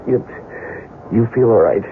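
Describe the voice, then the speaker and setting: A man's voice: lowish voice, unhurried, close to an everyday voice. A man acting a trusting husband who is sick, in pain and worried, in a 1970s radio drama. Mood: queasy, anxious. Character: trusting husband, ailing and anxious about poisoning. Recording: thin, phone-like, hissy old radio recording.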